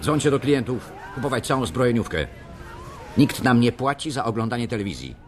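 Actor as a barking trading-floor boss. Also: male voice, medium pitch, very animated, fairly quick, close to an everyday voice. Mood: commanding. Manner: barking orders. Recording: busy crowd noise behind.

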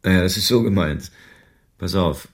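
A world-weary private detective in a radio crime drama, played by a man. He explains things patiently and soberly in a lowish voice. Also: moderate pace, close to an everyday voice. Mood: explaining.

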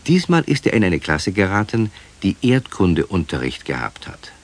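An actor playing a calm male storyteller, narrating a scripted children's radio play. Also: low voice, moderate pace, slight background noise.

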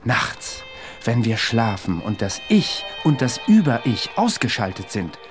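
A man's voice: mid-range voice, very animated, a little slow, over music. A man acting in a radio play as a confident, eccentric amateur psychoanalyst, eloquent, probing and provocative. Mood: musing, self-assured.

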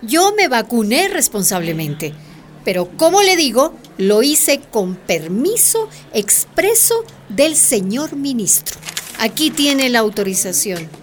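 A woman's voice: a little high, big pitch swings, slow, slight background noise. A woman playing a proud, self-assured mayor in a radio drama, speaking in an assertive, formal way. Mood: defiant. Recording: faint room ambience behind.

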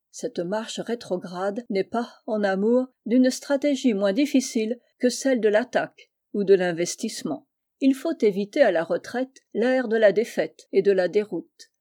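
A woman reading a literary historical story aloud as scripted narration, in a measured storytelling manner. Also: mid-range voice, dark tone. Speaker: audiobook reader.

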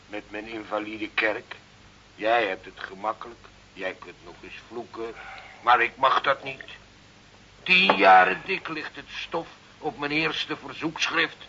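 Slow-spoken pious village priest. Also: male voice, medium pitch, gravelly, bright, forceful tone. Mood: rueful, complaining. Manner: unhurried, pious, fond of quoting scripture. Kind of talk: radio play acting. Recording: muffled, hissy old radio recording.

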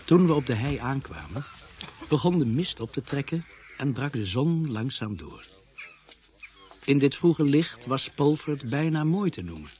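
An actor playing a grave narrator who recalls a police case in a radio drama, measured and sombre. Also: male voice, mid-range voice, very animated, darkish tone, slight background noise. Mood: reflective. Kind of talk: scripted first-person narration in radio drama.

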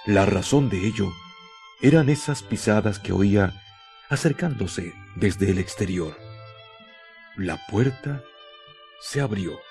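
Male narrator, fairly low pitch, dark mellow tone, solemn, deliberate dramatic pauses, faint music behind. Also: expressive, creaky. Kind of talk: dramatic scripted radio story narration.

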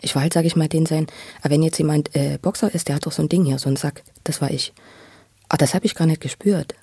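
A man with a very husky, gravelly voice, pitched a little high yet soft-edged. He speaks in a halting, conversational way, repeating words and groping for the next phrase, as if telling his own experience to someone across from him. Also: fairly even intonation, no room sound. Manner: stammering word repetitions, searching for words. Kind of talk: halting personal testimony in conversation.

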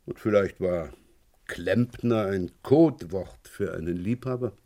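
An actor playing a wily police inspector in a German radio crime play, probing with hesitant, feigned casualness. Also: male voice, low voice, a little slow, dark tone. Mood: speculating. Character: wily male police inspector questioning a neighbour of a murder victim.

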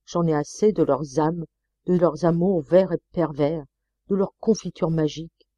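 A woman puts on a peevish, theatrical husband who whines and sneers, his voice pushed higher and louder than the narration. The sound is dull and close, with no room around it. Dark, mellow tone throughout. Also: fairly even intonation, measured pace, no room sound. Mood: weary, disgusted. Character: peevish snobbish middle-aged husband. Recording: slightly muffled dry recording.

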